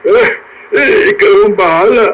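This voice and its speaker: An actor playing a caring old grandfather in a radio drama, hesitant and gentle, with a Filipino accent. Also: high voice, expressive, moderate pace. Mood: concerned. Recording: noisy radio drama broadcast.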